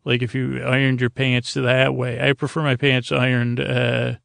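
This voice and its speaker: Creaky, soothing man rambling at bedtime. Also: medium pitch, completely flat delivery, a bit bright, no room sound. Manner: soothing, lulling, halting delivery. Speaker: host of a bedtime podcast. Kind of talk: rambling, meandering monologue for falling asleep.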